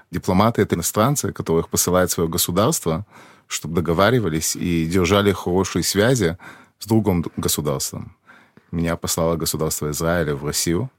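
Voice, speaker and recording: A man in his thirties with a very low voice, talking as a podcast guest. He explains things plainly and simply, as if for a child, and the dry studio sound keeps him close to the mic. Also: dry recording. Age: 30s. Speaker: embassy press secretary, guest. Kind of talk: casual interview.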